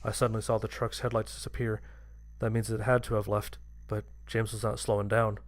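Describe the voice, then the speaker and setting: Lowish-voiced male horror story narrator. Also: flat delivery, brisk, no echo. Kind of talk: scripted first-person horror story narration.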